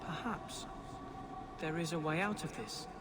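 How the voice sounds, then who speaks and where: An actor playing a troubled but earnest young mage who questions everything. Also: male voice, on the high side, measured pace, very noisy, natural voice. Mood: earnest, resolute.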